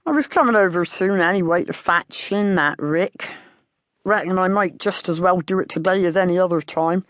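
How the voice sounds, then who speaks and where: A woman's voice: fairly low pitch, no echo, clean studio sound, natural voice. Woman acting a surly farmer. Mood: grumbling, defiant. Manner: gruff rustic talk, slow and hostile, cursing. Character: surly, hostile, short squat older male farmer.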